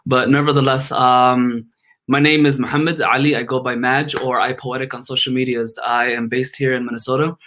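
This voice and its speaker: A man with a slightly raspy voice introducing himself and his poem over a video call. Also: medium pitch, flat delivery, fairly quick, fairly bright tone. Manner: introduces himself with a formal Arabic opening. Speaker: poet.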